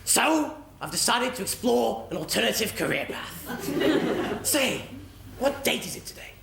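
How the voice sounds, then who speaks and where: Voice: medium pitch, big pitch swings, a little slow, vocal fry, exaggerated voice. A performer playing a jolly rat catcher turned magical Father Christmas, declaiming theatrically in a stage pantomime. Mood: jolly, grand. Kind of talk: stage pantomime comedy acting. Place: theatre stage before a live audience. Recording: roomy theatre recording with noisy audience.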